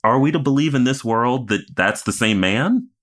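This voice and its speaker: A man's voice: medium pitch, animated, moderate pace, fairly bright tone. A man co-hosting a podcast, chatting casually and analytically about television with emphatic opinions. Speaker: podcast co-host. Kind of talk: casual TV recap chat.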